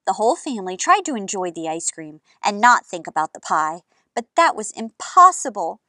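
A woman in her thirties hosting a children's storytime, narrating in an expressive, animated way. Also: medium pitch, normal speed, dry recording, studio quality. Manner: animated, gesturing.

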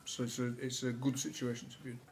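A man, a rugby coach answering questions at a post-match press conference with relaxed, halting answers full of fillers. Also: mid-range voice, vocal fry, a little hiss or hum. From England. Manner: relaxed, many fillers and restarts. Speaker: rugby league head coach. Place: press room with sponsor board behind.

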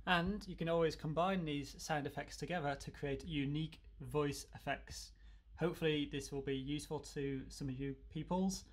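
A man presenting a software tutorial, explaining things informally step by step. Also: on the high side, fairly even intonation, normal speed.

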